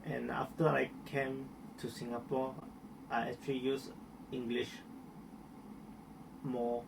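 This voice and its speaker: A man's voice: medium pitch, little pitch movement, slow pace, gravelly, distant mic, noisy background. A young man from Malaysia with a Malaysian accent, answering interview questions in a hesitant way with many restarts and fillers.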